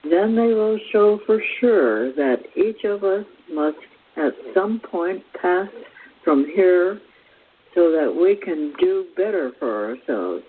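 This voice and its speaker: A man giving a spiritual lecture as a teacher, in deliberate, halting phrases with measured emphasis. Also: high-pitched, animated, breathy edge. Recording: hissy, tinny phone-like recording.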